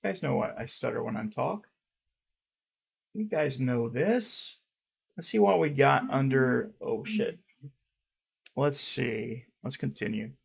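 Gravelly-voiced man rambling through comments. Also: medium pitch, lively intonation, takes it slowly, pauses often. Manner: rambling, swears, repeats himself, admits he stutters. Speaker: YouTuber reading fan comments. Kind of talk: casual reaction commentary. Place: dark room at home.

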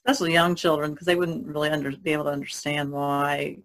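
A woman's voice: sits low, steady tone, quick pace, fairly bright tone. A woman in casual conversation as a podcast co-host. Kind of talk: casual chat.

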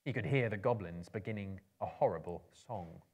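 A man in his thirties giving a measured, theatrical reading of a story as a performer. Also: lowish voice, darkish tone, slightly rough. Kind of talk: dramatic literary reading to a live audience. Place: indoor book-lined room, seated in an armchair.

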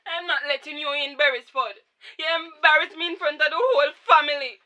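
A woman's voice: high voice, a little fast, bright, forceful tone. A woman playing a hurt, indignant wife and firm mother in a radio drama, with a Jamaican accent.

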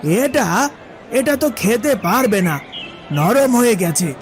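A man playing a blunt but soft-hearted village fish seller in a cartoon fairy tale, plain-spoken as he haggles. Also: very high voice, big pitch swings, takes it slowly, exaggerated voice. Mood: dismissive. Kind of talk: animated fairy tale acting.

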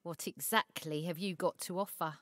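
An actress playing a shrewd assistant at a secretive tailor's shop in an audio story, talking in a blunt, wry, colloquial way. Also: female voice, lowish voice, fairly even intonation, moderate pace, dry recording, studio quality, lightly acted. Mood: sly, appraising. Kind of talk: dramatised audio short story acting.

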